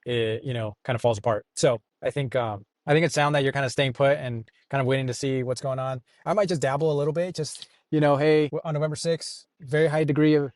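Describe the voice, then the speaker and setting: A man's voice: mid-range voice, fairly even intonation, quick pace, few pauses, a little mellow, no echo, studio quality. A man co-hosting a podcast, giving casual conversational market commentary with hedging, self-deprecating asides. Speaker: podcast co-host discussing stock investing.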